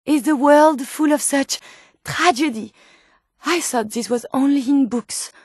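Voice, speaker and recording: A high, closely recorded female voice with a French accent, an earnest video game medical robot who is learning to be human. She speaks in formal, carefully chosen words, with open curiosity and tender feeling. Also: unhurried, no room sound, clean studio sound. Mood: tender, hesitant. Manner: precise, formal, expressive diction. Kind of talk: video game character dialogue.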